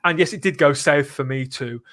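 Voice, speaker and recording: A man with a slightly high-pitched voice hosts a live fan podcast. He speaks earnestly and with emphasis, shaping his phrases deliberately as he makes his case. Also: fairly bright tone. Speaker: podcast host.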